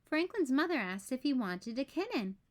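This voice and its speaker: A woman reading a children's picture book aloud as storyteller, with deliberate phrasing for young listeners. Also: high-pitched, big pitch swings.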